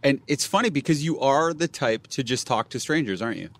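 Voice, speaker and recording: A young man hosts a podcast and interviews his guest in a loose, joking way, laughing often. His pitch moves around a lot, and the recording is dry and close. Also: expressive, fairly quick, fairly bright tone, dry recording. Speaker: podcast host. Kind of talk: casual interview.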